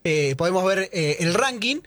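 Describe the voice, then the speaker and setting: A man's voice: sits high, animated, normal speed, fairly bright tone, dry recording. A man, explaining football player data in casual sports talk, listing facts and names.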